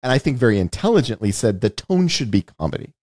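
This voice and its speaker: A man's voice: mid-range voice, sing-song, fast talker, darkish tone, dry recording, clean studio sound. A man co-hosting a podcast in a casual movie discussion, thoughtful and circling back over his words as he builds a point. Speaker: podcast co-host.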